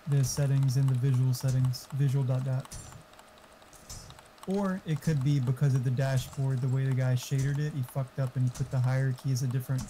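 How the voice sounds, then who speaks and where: A man's voice: mid-range voice, little pitch movement, brisk, warm tone, a little hiss or hum. A man in casual technical chat over voice call, explaining things and swearing freely. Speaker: game modder on voice chat.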